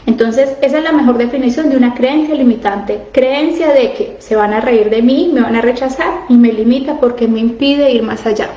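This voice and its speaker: A woman's voice: fairly high pitch, normal speed, hardly any pauses, mellow, echoey room, muffled recording. A woman in her thirties coaching her audience in a casual live stream, earnest and asking direct questions. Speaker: coach on emotional eating, live stream host. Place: home, living abroad.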